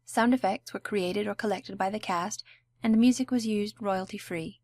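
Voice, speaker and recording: A woman's voice: mid-range voice, moderate pace, darkish tone, no room sound. A woman announcer reading scripted closing credits for a radio drama in a formal announcing manner.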